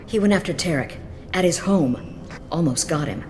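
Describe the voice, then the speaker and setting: A woman's voice: lowish voice, animated, moderate pace, darkish tone, soft background music, close to an everyday voice. A woman voicing a jaded, hard-edged mercenary lieutenant in a video game, frank and businesslike with a bitter edge.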